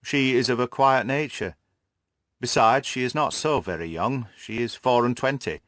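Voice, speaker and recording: A man's voice: medium pitch, normal speed, a bit bright, no room sound, studio quality, close to an everyday voice. A man voicing an anguished older banker, a dignified and despairing father, in an emotional, lamenting audiobook performance. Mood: subdued. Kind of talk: audiobook character acting.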